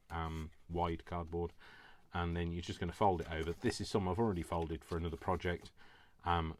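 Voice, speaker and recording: A man from the UK, slightly hoarse from allergies, rambling and stumbling over his words as he explains a craft step by step. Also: low-pitched, a little hiss or hum. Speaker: crafting tutorial host. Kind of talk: casual craft tutorial.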